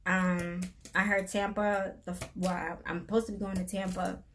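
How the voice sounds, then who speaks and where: A woman's voice: medium pitch, level tone, normal speed, fairly bright tone, a little hiss or hum. A middle-aged woman co-hosting a casual live stream, chatty and laughing often as she tells stories.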